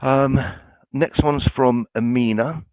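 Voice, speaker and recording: A man's voice: mid-range voice, steady tone, takes it slowly, dry recording. A man from a college staff answering viewer questions in a webinar, informal and reassuring, with many ums and restarts. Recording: dry, tinny phone-like sound.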